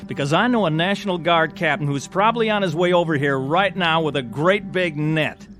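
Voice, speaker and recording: An actor playing a skeptical, wisecracking army corporal in a radio drama, with a wry, colloquial manner. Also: male voice, on the high side, brisk, a bit bright, lightly acted. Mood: sarcastic. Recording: music bed under radio drama dialogue.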